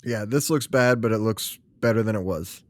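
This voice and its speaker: A man playing a concerned, resigned school administrator, speaking frankly with some hesitant pauses in a role-play conversation. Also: lowish voice, dry recording, studio quality, natural voice.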